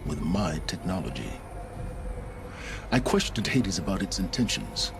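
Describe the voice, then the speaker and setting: A cold, unrepentant male schemer from a video game cutscene. His voice is low and deep, with measured, exact phrasing and aloof self-satisfaction. Music plays clearly beneath him. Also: big pitch swings, full character voice. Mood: measured recounting. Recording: music under the voice.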